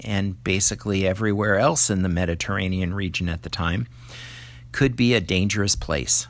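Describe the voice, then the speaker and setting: A man's voice: on the low side, fairly bright tone, no room sound. A man reading a scripted educational lesson aloud to young students, explaining things in the manner of a teacher. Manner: explanatory, poses questions to the listener. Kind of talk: scripted educational read-aloud addressed to children.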